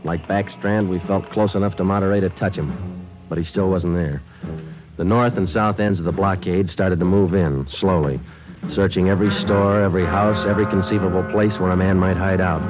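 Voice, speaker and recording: A man's voice: low voice, fairly even intonation, moderate pace, natural voice. An actor playing a stoic American police detective who narrates his cases in a clipped, matter-of-fact radio drama style. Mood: grim, matter-of-fact. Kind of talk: 1950s radio crime drama acting. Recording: muffled old radio broadcast, dramatic music.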